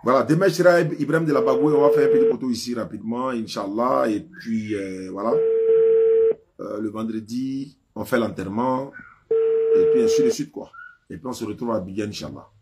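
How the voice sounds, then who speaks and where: A man's voice: mid-range voice, big pitch swings, a little fast, heavy background noise. A man with an Ivorian French accent hosting a live religious phone-in, talking informally to listeners and callers. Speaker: host of a religious call-in live stream. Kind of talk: live phone call-in talk.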